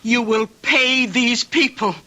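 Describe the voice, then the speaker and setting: Tense, insistent immigrant wife. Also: female voice, a little high, takes it slowly, sharp tone. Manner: firm, urgent, demanding. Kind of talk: radio drama acting. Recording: noisy old radio recording.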